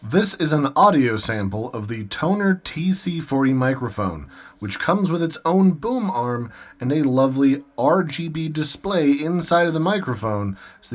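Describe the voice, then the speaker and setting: Casual man reviewing tech gear. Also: medium pitch. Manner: conversational, informal, explanatory. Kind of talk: casual unboxing and review narration.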